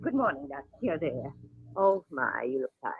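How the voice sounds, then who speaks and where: Fussy gossipy female receptionist, animated pitch, vintage radio comedy-drama, tinny narrow sound. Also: normal speed, gravelly, background noise, heavily acted. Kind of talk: 1940s radio drama acting.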